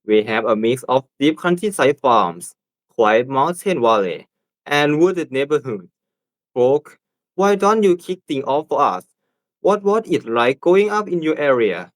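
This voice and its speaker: A man's voice: medium pitch, big pitch swings, measured pace, no echo, low-quality recording. A nineteen-year-old man with a Thai accent, reading a scripted class presentation and prompting his classmates. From Thailand. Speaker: student presenter.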